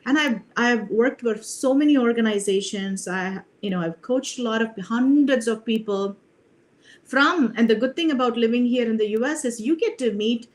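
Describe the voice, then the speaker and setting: A woman's voice: a little high, moderate pace. A middle-aged woman from India, a leadership coach speaking earnestly on a video call, often adding "you know" and "right?". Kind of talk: reflective interview talk.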